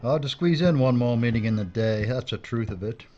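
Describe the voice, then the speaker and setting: A man's voice: medium pitch, fairly quick, darkish tone, muffled recording, a little hiss or hum, close to an everyday voice. A man playing a brusque, weary senior police chief inspector in an audio drama. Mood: brisk, weary.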